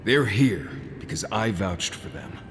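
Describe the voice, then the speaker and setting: Jaded returning native, slightly low pitch, dry, earnest, sardonic, fantasy game, clear musical backing. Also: male voice, lively intonation, measured pace, a bit bright, soft background music. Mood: insistent. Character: jaded, earnest man returning to warn his homeland. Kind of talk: dramatic fantasy game acting.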